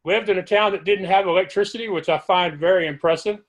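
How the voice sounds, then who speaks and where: A man hosts a casual interview show. He talks in a friendly, halting way and stumbles over names as he introduces his guest. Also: sits high. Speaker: podcast host.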